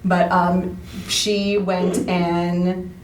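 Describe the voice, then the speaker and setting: A woman's voice: on the low side, drawn-out words. A woman interview guest telling personal stories in a casual, chatty way, often acting out bits of dialogue. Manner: chatty, animated retelling with quoted dialogue. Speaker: interview guest, radio play cast member. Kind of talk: casual conversational storytelling. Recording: roomy, distant mic, faint background noise.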